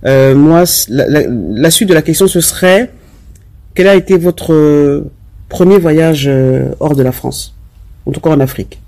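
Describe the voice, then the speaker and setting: A man's voice: a little high, a little slow, darkish tone, no echo. A man hosting a radio interview, asking probing questions and sometimes stumbling over his words.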